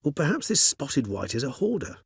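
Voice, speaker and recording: A man's voice: a little high, sing-song, fairly quick, breathy edge, no echo, clean studio sound, full character voice. A male actor playing a courteous, erudite and melancholy ancient vampire in a video game, speaking formally and eloquently with a wry, philosophical turn. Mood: gently wry. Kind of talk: video game character dialogue.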